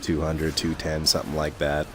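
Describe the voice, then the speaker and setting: A young man commentating his gameplay in a casual, rambling way full of fillers, his voice a little raspy from talking so long. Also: very deep voice, completely flat delivery, moderate pace, noisy background. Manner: rambling, many fillers and restarts.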